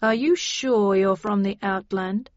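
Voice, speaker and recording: A woman's voice: high-pitched, expressive, normal speed, a bit bright, dry recording, muffled recording, pitched above the performer's own voice. A woman voicing a suspicious, nosy uniformed male police officer in an audiobook, blunt and questioning.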